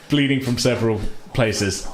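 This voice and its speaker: A man's voice: mid-range voice, roomy sound, slight background noise. A man running a tabletop game as game master, narrating in a loose, chatty way and laughing often.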